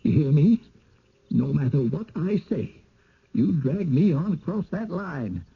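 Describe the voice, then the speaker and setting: Gravelly, folksy rural American villager. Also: male voice, a little high, sing-song, unhurried, heavily acted. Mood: nervous. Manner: hesitant, drawling, folksy speech. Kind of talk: radio drama acting. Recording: dull, muffled old radio recording.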